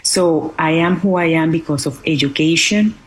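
A woman from Venezuela with a Venezuelan Spanish accent, giving earnest interview answers as a guest in non-native English with searching pauses. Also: low-pitched, husky, low-quality recording. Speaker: interview guest, charity foundation director.

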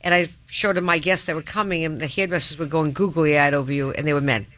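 A woman's voice: low voice, fairly even intonation, fast, a bit bright. A woman hosting a casual radio interview, teasing and chatty, often talking over her guest. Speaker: radio host.

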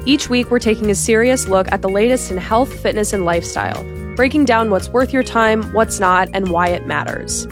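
A brisk woman reads a podcast promo. Soft music plays behind her. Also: mid-range voice. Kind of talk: promo read.

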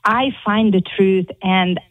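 A woman's voice: medium pitch, steady tone, slow, no room sound. A woman with a Hungarian accent calling into a radio show, telling her story earnestly and with urgency. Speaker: caller. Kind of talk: call-in radio talk. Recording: tinny phone line.

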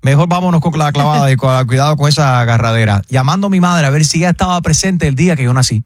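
A man hosting a radio show, reading event promos quickly and teasing callers and guests. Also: a little high, very noisy. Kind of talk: lively radio chat.

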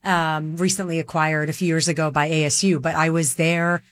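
A middle-aged woman from Dubai talks through her answers in a quick, chatty way, her speech full of "like" and "you know." Her voice sits a little low for a woman. She is recorded dry and close, with no room sound. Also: level tone, no room sound. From Dubai, Middle East. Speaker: guest, marketing executive. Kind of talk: casual interview answers.

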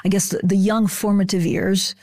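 A woman hosting an interview podcast, asking unhurried, curious questions. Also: medium pitch, fairly even intonation, dark tone, dry recording.

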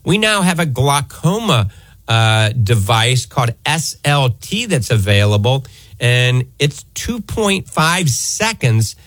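A man's voice: mid-range voice, very animated, slow pace, fairly bright tone, dry recording. An American man hosting a live health call-in show, explaining things fluently and emphatically on a close studio microphone. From New Orleans area, United States. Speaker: radio call-in show host, eye doctor. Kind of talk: live health talk radio.

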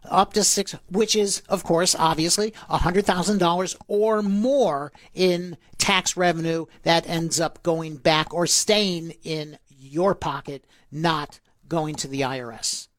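A man's voice: fairly high pitch, slow, hardly any pauses, fairly bright tone, no echo, clean studio sound. A man co-hosting a financial radio show, explaining tax planning in a chatty way with fillers and asides. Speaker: radio co-host, financial advisor. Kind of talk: casual radio finance talk.

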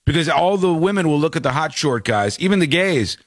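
A man with a voice pitched a little high talks in a blunt, animated way, cracking jokes and swearing now and then. The sound is close and dry, with almost no room in it. He sounds like a host riffing in casual chat. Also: a little fast, no echo.

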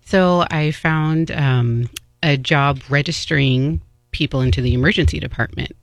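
Male paramedic guest, gravelly, casual and chatty, dry sound. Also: on the high side, moderate pace, no room sound. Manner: casual storytelling with fillers. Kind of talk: casual interview in studio.

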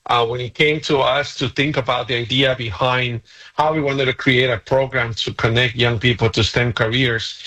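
Bright-voiced Guatemalan-born man, earnest. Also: mid-range voice, low-quality recording. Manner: earnest, steady explaining. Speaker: nonprofit CEO, guest. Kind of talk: radio interview.

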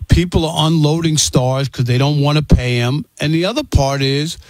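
A man's voice: on the high side, moderate pace, a little mellow, no echo. A man co-hosting a casual sports talk show, joking and asking jumpy questions.

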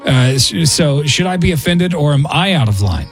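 A man's voice: fairly high pitch, animated, moderate pace, faint music behind. A man hosting a radio show, chatting and joking in a rambling way. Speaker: radio morning show host. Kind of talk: casual radio banter. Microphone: studio microphone.

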